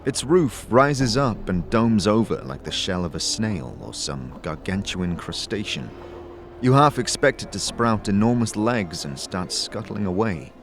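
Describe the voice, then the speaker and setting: A man narrates a fantasy audio drama in a low voice. His delivery is scripted and theatrical, with very lively pitch movement, over faint music. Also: unhurried.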